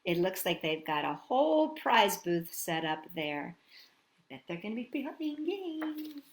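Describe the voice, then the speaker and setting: A woman's voice: medium pitch, big pitch swings, unhurried, a little hiss or hum. A woman hosting a storytime for small children, talking and singing action songs in a sing-song, encouraging way. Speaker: children's storytime host and singer. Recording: roomy echo, music behind.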